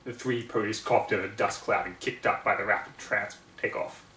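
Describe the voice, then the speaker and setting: A man's voice: lowish voice, fairly even intonation, normal speed, sharp tone, a little hiss or hum. Male amateur narrator for storybook reading, recorded at home with audible room echo and some distance from the mic. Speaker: fan fiction reader.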